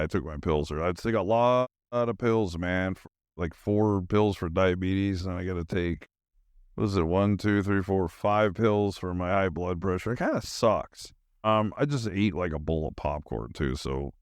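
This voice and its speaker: A man's voice: low-pitched, no room sound, clean studio sound. A middle-aged man hosting a solo podcast, tired and zoned out, rambling casually in slangy, meandering talk with trailing thoughts.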